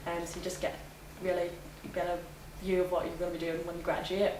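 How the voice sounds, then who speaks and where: A young Northern Irish woman talks through interview answers in a chatty way, her voice sitting a little low. She is heard from a distance in an echoey room, with clear background noise under her. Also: little pitch movement, quick pace. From Northern Ireland. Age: young adult. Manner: chatty, laughs often, many fillers. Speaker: student interviewee. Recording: echoey room, from afar, noisy.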